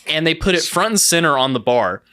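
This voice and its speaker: Bright-voiced man telling a story. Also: fairly high pitch, sing-song, fairly quick, clear, resonant tone. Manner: storytelling, chatty, laughs often. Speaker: podcast co-host. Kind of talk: casual comedy chat. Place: podcast studio.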